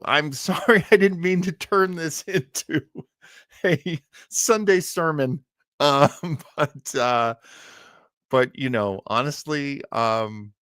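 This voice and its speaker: Slow, halting American man hosting casually. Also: mid-range voice, fairly bright tone, smooth, no room sound, studio quality. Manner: halting, self-deprecating, chuckles while talking. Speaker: live show host. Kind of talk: casual livestream chat. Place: home studio.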